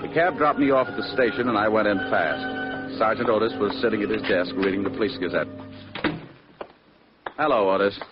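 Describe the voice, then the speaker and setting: An actor playing a wisecracking ex-cop private detective with a wry manner in a vintage radio drama. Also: male voice, fairly low pitch, fairly quick, long pauses, music in the background, natural voice. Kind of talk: 1940s-50s radio detective acting. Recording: muffled, hissy old radio broadcast.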